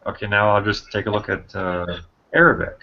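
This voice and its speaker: A man speaks casually in a very low voice. He hesitates and lets his sentences trail off. The sound is dull and lo-fi. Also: fairly quick, creaky. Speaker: study group member. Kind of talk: casual chat. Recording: dull lo-fi recording.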